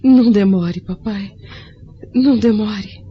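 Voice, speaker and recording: A woman's voice: mid-range voice, takes it slowly, a little hiss or hum, lightly acted. A woman playing a frail, sad and tender middle-aged daughter in an audio drama, speaking earnestly and plaintively. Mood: anxious affection. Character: blind, frail forty-year-old daughter, sad and tender. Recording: slightly dull audio with music underneath.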